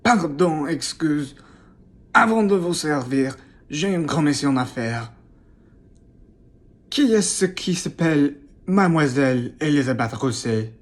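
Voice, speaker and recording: A woman's voice: low voice, lively intonation, takes it slowly, long pauses, slight background noise. A woman playing a businesslike, indifferent innkeeper passing on orders in an amateur radio play. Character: matter-of-fact innkeeper bearing an officer's orders.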